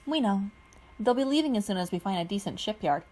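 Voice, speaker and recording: A woman's voice: medium pitch, animated, fairly quick, a little mellow, natural voice. A woman voicing an eager, clever teenage girl pilot in an audiobook reading.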